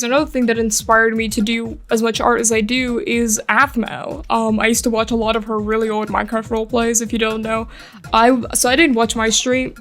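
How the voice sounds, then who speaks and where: High-voiced young American woman, rambling and creaky. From England and Eastern Europe, later New York. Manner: rambling, self-deprecating, giggly. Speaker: art YouTuber. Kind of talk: casual chatty speedpaint commentary. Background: background music.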